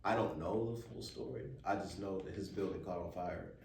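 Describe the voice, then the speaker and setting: An American man telling stories casually on a podcast in a laid-back, slangy, halting way. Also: deep voice, a little mellow. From born in Memphis, USA. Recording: roomy, distant mic, faint background noise.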